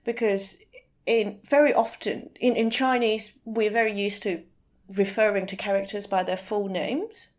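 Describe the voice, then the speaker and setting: A woman talking as a literary translator in an interview, explaining thoughtfully in halting phrases with some repeated words. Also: mid-range voice, steady tone.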